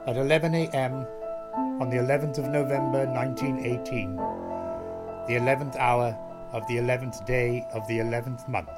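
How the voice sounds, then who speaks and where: A man's voice: medium pitch, fairly even intonation, normal speed, close to an everyday voice. A man reading formal, factual scripted narration in a documentary style for a radio play. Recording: loud music under the voice. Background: music.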